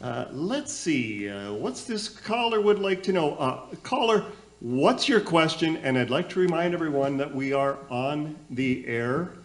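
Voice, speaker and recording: Man acting a flustered high-voiced nephew sidekick. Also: sing-song, a little slow. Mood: hesitant. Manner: hesitant, fussy, correcting. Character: earnest, flustered young nephew, put-upon radio sidekick. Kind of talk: comic community-theater radio play.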